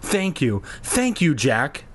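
Give this one a high, swooping voice: a man playing a young girl in a storybook, whiny and pleading, quick to get bossy. She stretches for what she wants and sounds put out. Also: wildly swooping pitch, full character voice. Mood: excited. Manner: begging, demanding, impatient.

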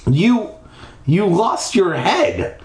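A man voicing a frightened, excitable youngster in a story reading, exclaiming, stammering and pleading. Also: sits high, slow, voice pushed up, exaggerated voice. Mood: pleading, panicked. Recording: roomy echo.

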